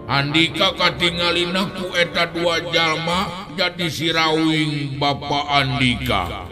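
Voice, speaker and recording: A man voicing an ancient, protective guardian spirit in an audio story, stately, calm and solemn. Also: a little high, slow, voice pushed up. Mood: calm, reassuring. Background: music.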